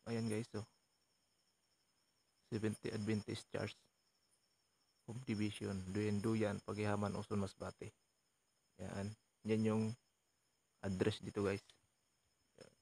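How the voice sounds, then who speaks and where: Low, gravelly young man. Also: completely flat delivery, slow, pauses often, a little mellow. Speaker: vlog host, ghost hunter. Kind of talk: casual exploration vlog commentary. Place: outdoors at night around an abandoned building. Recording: noisy outdoor recording with insects.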